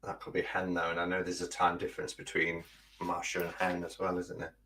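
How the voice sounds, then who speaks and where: Low, bright-voiced man chatting casually. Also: monotone, a little fast, slight background noise, low-quality recording. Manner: chatty, informal. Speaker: guest. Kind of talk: casual live-stream chat.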